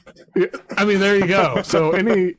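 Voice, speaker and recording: A man hosting a music podcast, chatting casually with a deep voice, rambling with fillers and restarts. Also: fairly even intonation, moderate pace, a bit bright, dry recording. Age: adult, a parent. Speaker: podcast host. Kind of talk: casual conversation about music.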